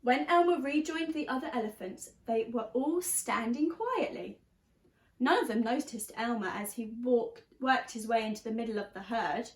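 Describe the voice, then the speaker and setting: A woman reading a children's story aloud like a teacher, expressively and deliberately, stressing key words. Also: fairly high pitch, a little hiss or hum. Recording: roomy, echoey sound.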